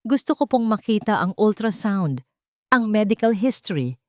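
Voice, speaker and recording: A woman's voice: mid-range voice, sing-song, normal speed, no echo, studio quality, pitched above the performer's own voice, close to an everyday voice. Woman voicing a stern betrayed husband. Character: betrayed wealthy husband, stern, wounded, demanding truth. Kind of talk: audio story drama.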